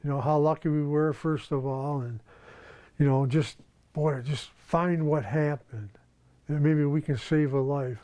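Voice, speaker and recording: A man's voice: fairly high pitch, steady tone, a little slow, mellow. A man speaking plainly and unscripted as a local news interviewee.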